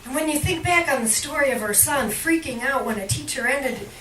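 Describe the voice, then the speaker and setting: A woman giving a live poetry reading in measured, deliberate phrases with emphatic line breaks, recorded indoors at a cafe. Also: high voice, a bit bright. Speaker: poet, featured reader at a poetry reading. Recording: roomy, distant live recording with faint noise.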